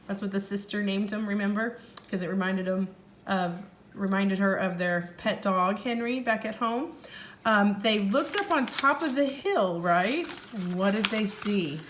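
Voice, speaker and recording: A woman teacher reading a story aloud to young children in an expressive way, pausing to explain and ask questions. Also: medium pitch, slight background noise. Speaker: first grade teacher.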